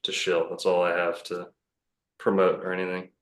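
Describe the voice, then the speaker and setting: Deep-voiced man in a roomy echo. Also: monotone, moderate pace, a little hiss or hum. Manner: rambling, self-assured, many fillers and restarts. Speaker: podcast guest, bookstore owner. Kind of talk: casual podcast conversation.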